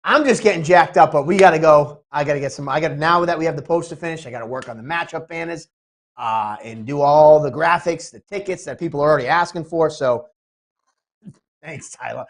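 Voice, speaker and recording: A man, an MMA promoter, chatting casually on a podcast, sniffly from a cold and talking in an excitable, rambling way with lots of restarts. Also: on the high side, brisk. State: sniffly, getting over a cold.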